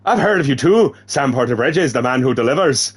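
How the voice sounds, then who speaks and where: A man's voice: medium pitch, lively intonation, fairly quick, breathy edge. A man voicing a playful, weary young woman courier in a storybook read-aloud, with an attempted French accent drifting toward Irish. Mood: playful, inviting. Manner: musical, lilting.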